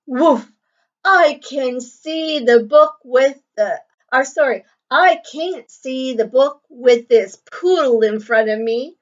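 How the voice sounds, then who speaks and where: Woman putting on a high, sneering schoolboy. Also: slow pace, distant mic, highs cut off. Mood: mocking. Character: rude, sneering schoolboy in a picture book.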